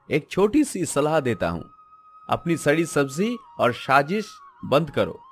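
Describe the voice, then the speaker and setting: A man playing an honest, principled young vegetable seller, speaking in a measured, earnest, moralizing way in a cartoon. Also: a little high. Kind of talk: Hindi moral-story cartoon acting.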